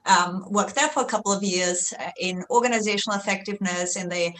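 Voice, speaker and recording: A woman's voice: mid-range voice, fast, a bit bright, slight background noise, rough recording. Chatty Austrian-accented middle-aged woman. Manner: chatty, with many fillers and light self-deprecation. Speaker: guest, research executive. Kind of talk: conversational interview answer.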